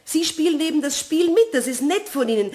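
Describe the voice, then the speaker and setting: A female actor in a radio play as a gracious, composed, gently sceptical countess with polite manners. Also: high voice, expressive, a little fast. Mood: amused, firm. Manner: polite, refined, gently condescending. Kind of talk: German radio play acting.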